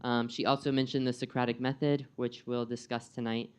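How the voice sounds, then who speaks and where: Smooth-voiced young American man presenting. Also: mid-range voice, flat delivery, brisk, darkish tone. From United States. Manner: friendly, engages the audience with questions. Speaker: guest speaker and program coordinator. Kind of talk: live presentation to an audience.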